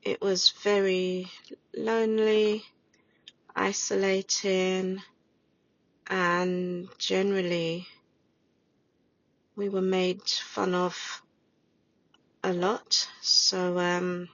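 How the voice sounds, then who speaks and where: A woman speaking in a slow, flat, subdued manner as an interviewee. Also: medium pitch, no echo. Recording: dull lo-fi sound.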